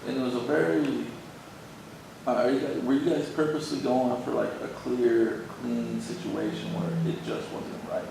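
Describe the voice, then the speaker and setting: A man's voice: mid-range voice, normal speed, creaky. A man in an audience asking probing questions in a casual, colloquial way. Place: large echoey indoor arts venue. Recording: very echoey room, distant mic, noisy.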